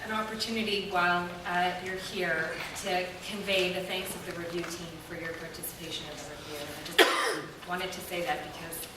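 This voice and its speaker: A woman's voice: lowish voice, steady tone. Woman answering from a far, echoey hall. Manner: measured and careful, with frequent pauses. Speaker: member of a review team answering public questions. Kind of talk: formal answers at a public meeting. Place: large echoey meeting room. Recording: echoey hall audio from afar with noise.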